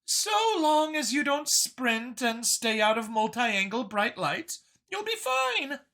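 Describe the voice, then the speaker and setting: A narrator voicing a brisk, matter-of-fact female alien researcher who gives precise, formal instructions in an audiobook story. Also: high-pitched, very animated, bright, forceful tone, pitched above the performer's own voice, full character voice. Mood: explaining.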